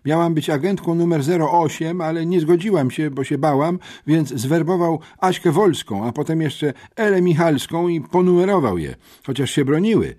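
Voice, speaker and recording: Mężczyzna udający usłużną uczennicę-skarżypytę, wysoki głosik. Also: male voice, a little high, animated, moderate pace, few pauses, darkish tone, voice pushed up. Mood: tattling. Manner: eager to explain. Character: obliging, tattling young schoolgirl classmate. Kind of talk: audiobook character reading.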